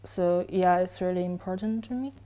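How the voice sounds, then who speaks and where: A woman's voice: fairly low pitch, moderate pace, noisy background. A young woman from China, answering interview questions hesitantly, with many fillers. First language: Chinese.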